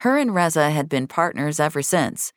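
A woman's voice: low-pitched, very animated, normal speed, no echo, studio quality. A woman reading scripted audio fiction as the narrator.

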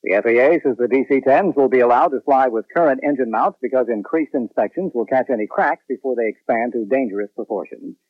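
Brisk American male radio newscaster. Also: mid-range voice, no room sound. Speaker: network radio news anchor. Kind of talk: scripted newscast reading. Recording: dull, dry old radio broadcast.

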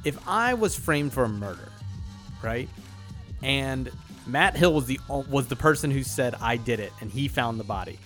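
A man's voice: mid-range voice, expressive, normal speed, a bit bright, faint music behind. A man co-hosting a podcast, talking casually and emphatically in slangy language, often acting out what people say. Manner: emphatic, slangy, acts out quotes. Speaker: podcast co-host. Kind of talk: casual opinionated banter.

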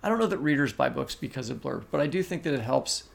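A man's voice: medium pitch, expressive, fast, gravelly. A man co-hosting a podcast in casual conversation about books, blunt and opinionated in an informal way. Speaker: podcast co-host.

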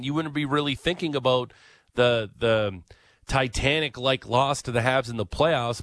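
A man's voice: fairly high pitch, fairly bright tone, no echo. A man co-hosting a sports talk radio show, giving wry, opinionated takes and thinking aloud as he goes.

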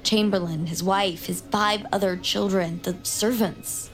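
A woman's voice: medium pitch, steady tone, unhurried, faint music behind, lightly acted, poor audio. A woman playing a driven, inquisitive young crime survivor in an audio drama, laying out facts with intent emphasis. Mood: intent, urgent. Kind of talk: naturalistic audio drama acting.

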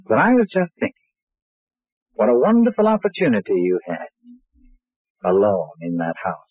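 An actor playing a resentful, defiant lover, blunt and insistent, in an old radio melodrama. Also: male voice, lowish voice, wildly swooping pitch, normal speed, breathy edge, no room sound, exaggerated voice. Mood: insinuating. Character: bitter, defiant male lover, resentful of rich cousin. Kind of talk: 1940s radio melodrama acting. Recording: dry, tinny old radio recording.

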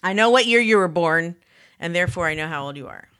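A woman in her forties talking in an animated, self-deprecating way as a co-host in a casual chat. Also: fairly low pitch, quick pace, smooth. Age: 40s. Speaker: podcast co-host.

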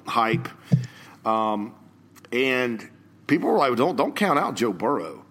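A man's voice: mid-range voice, a bit bright. A man co-hosting a podcast, talking in a casual, conversational way with hesitant restarts. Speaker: podcast co-host. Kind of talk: casual sports talk.

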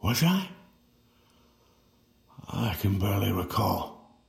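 An actor playing an aged, weary twin brother in an audio drama, speaking in a halting, wry, reflective way. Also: male voice, on the low side, big pitch swings, gravelly, echoey room, heavily acted. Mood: regretful. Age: older. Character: aged, weary twin brother reunited with his lost sibling.

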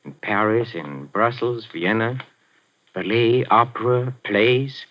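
An actor playing a nervous, sly middle-aged European impresario who stammers and hesitates, breaking into nervous little laughs, in 1940s radio acting. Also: male voice, on the low side, slow pace. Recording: muffled 1940s radio broadcast.

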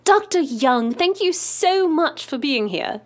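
A woman's voice: high voice, animated, slow. A woman playing a probing news reporter in an audio drama interview, polite but pointed and pressing. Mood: polite, brisk.

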